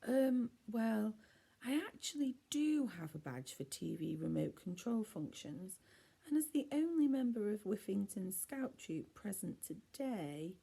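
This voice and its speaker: A woman's voice: medium pitch, big pitch swings, unhurried, creaky, dark tone, slightly rough, pitched above the performer's own voice, exaggerated voice. A woman voicing a timid, awkward boy scout in a children's story, sheepish and muttering. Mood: hesitant, earnest.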